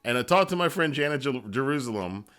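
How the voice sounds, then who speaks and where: A man with a medium-pitched voice hosts a wrestling review. He talks in a casual, conversational way, wandering into asides and repeating phrases for emphasis. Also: expressive, fast talker, a bit bright, clear, resonant tone.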